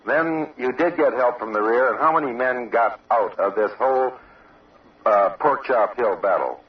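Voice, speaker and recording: A man's voice: medium pitch, normal speed. Male field reporter, muffled and distant. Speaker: radio reporter, interviewer. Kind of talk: on-location news interview. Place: outdoor observation post. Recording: dull, distant old radio field recording.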